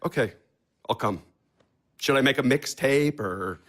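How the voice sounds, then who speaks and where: Man playing a blunt, deadpan, crude friend. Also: medium pitch, measured pace, slight background noise. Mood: blunt, sardonic. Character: man Max, gruff blunt sardonic friend. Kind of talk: audio drama acting.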